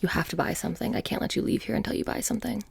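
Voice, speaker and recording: A woman playing a playful, menacing witch shopkeeper in a soft-spoken ASMR roleplay, breathy and often whispering, with a casual deadpan delivery. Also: mid-range voice, rapid-fire, exaggerated voice. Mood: pushy, annoyed. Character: playful, menacing, petty witch shopkeeper selling haunted trinkets.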